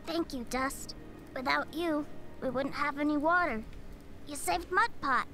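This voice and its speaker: A woman's voice: sits high, a little slow, sharp tone, soft background music, exaggerated voice. A woman voicing an earnest young male villager boy in a game cutscene, with a folksy manner. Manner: folksy, drops g's.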